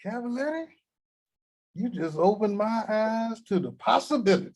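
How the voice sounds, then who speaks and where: An actor playing a crude, cranky old grandfather at a table read, talking gruff and vulgar. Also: sits high, lively intonation, moderate pace, darkish tone.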